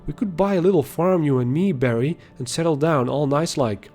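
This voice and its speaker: A man's voice: fairly high pitch, fairly quick, warm tone, faint music behind, natural voice. A man doing an eager young peasant boy. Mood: wistful.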